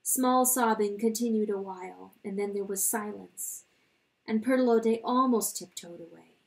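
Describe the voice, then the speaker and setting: A woman reading a literary story dramatically, in a solemn, deliberate narrating style. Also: medium pitch, creaky, a little mellow, far from the mic, low-quality recording. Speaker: audiobook reader.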